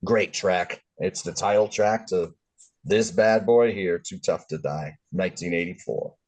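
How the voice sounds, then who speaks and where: Lower voiced guy gushing about a heavy punk track, laid back. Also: a little slow, poor audio. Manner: relaxed, fond enthusiasm. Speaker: guest music fan. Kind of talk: casual music discussion.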